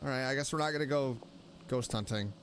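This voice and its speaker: A woman doing casual, playful let's play commentary, reacting with drawn-out exclamations. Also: extremely low pitch, slight background noise. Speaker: VTuber game streamer. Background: game music.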